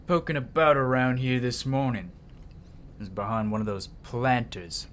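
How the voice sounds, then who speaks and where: A man voicing a brash, flirty, flippant male game character in a loud, teasing manner. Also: medium pitch, fairly even intonation, moderate pace, a little hiss or hum, natural voice. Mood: breezy.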